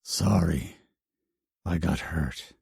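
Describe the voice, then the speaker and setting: An audiobook narrator voicing a wounded male alien warrior with scales, speaking low, weary and reassuring. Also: slow pace, mellow, breathy edge, no echo, studio quality, full character voice.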